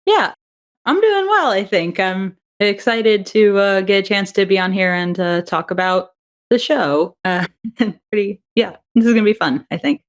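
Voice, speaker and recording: A woman's voice: mid-range voice, expressive, moderate pace. A woman being interviewed on a podcast, talking reflectively with frequent laughs and fillers. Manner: warm, reflective, laughs often, many fillers. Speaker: audio drama writer and director, podcast guest. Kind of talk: casual interview answers.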